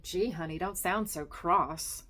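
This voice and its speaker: A woman's voice: lowish voice, takes it slowly, pushed and bright, exaggerated voice. A woman reading aloud and voicing a chatty, nosy young married woman who keeps calling her friend honey. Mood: fussing. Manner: gushing, coaxing, calls everyone honey.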